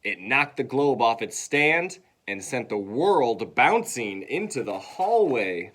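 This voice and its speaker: A man reading a children's story aloud in an expressive way, acting out sounds and dialogue. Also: medium pitch, slow.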